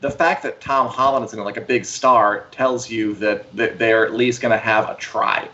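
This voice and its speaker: A man's voice: on the low side, fairly even intonation, moderate pace, bright, forceful tone. A man hosting a live entertainment show, chatting casually and giving opinions in a rambling way peppered with "like". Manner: rambling, heavy use of "like". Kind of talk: casual chat, opinion on pop culture. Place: remote video call livestream. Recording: dull lo-fi livestream call audio.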